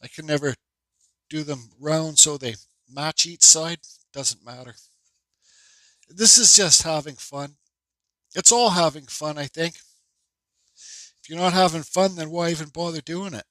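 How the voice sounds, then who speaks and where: Stuffy-nosed guy walking you thru a carving project, kinda high, bright voice, dry workshop sound. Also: measured pace, long pauses, no echo, rough recording. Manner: rambling, friendly, with many fillers and restarts. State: stuffed up, congested. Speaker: woodcarving instructor. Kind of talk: casual how-to narration. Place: home workshop bench.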